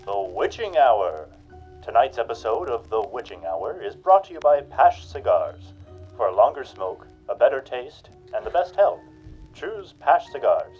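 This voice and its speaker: An actor playing a 1940s radio announcer and narrator, booming through the sponsor spots, then telling his own night of terror in a hushed, tense voice. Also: very animated, measured pace, muffled recording, faint music behind. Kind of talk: 1940s radio drama acting.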